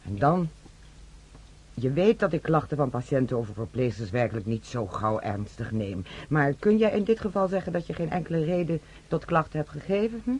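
An actress playing a firm, admonishing but concerned head nurse in a radio play, speaking with a husky voice. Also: female voice, low-pitched, lively intonation, brisk, darkish tone. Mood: stern, reproving. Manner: stern, admonishing.

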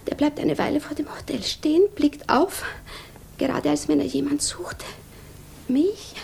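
An actress playing an anxious, lovesick young woman in a 1950s German radio drama, with a breathy voice that often drops to a whisper. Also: high voice, measured pace, darkish tone, heavily acted. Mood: dreamy, uneasy.

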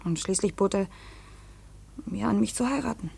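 An actress playing a troubled young widowed aunt, confiding hesitantly and earnestly in a 1950s German radio play. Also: female voice, mid-range voice, normal speed, creaky, darkish tone, breathy edge, exaggerated voice. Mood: sorrowful, confiding.

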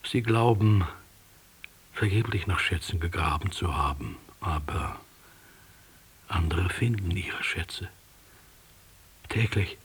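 An actor playing an enigmatic, reflective interlocutor in a German radio drama, speaking poetically in a husky, often near-whispered voice. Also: male voice, low voice, slight background noise. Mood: gently consoling. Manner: measured, philosophical, poetic. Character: enigmatic, reflective male interlocutor, probing and gently admonishing.

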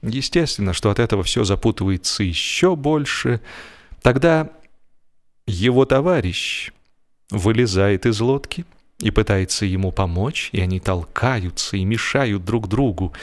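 A man narrating a humorous literary story in an expressive, measured way with deliberate pauses. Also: fairly low pitch. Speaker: audiobook narrator.

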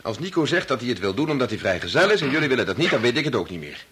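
Touchy, very husky married man. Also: medium pitch, sing-song, racing, a bit bright, full character voice. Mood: sarcastic, peeved. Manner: indignant, sarcastic, blunt. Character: touchy, argumentative married father, sarcastic. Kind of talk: Dutch radio play acting.

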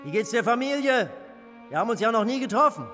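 Slimy menacing nazi minister in a radio play, high voice, echoey hall. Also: male voice, sing-song, fast talker, pushed and bright, soft background music, full character voice. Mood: gushing, falsely jovial. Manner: effusive, repetitive, theatrically insistent. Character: unctuous, menacing Nazi minister, effusive then bullying. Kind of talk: radio drama acting.

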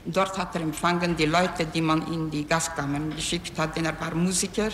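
A middle-aged woman giving witness testimony in a simple, halting way. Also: sits low, steady tone. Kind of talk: trial testimony. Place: large reverberant courtroom. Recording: echoey hall, noisy archive recording.

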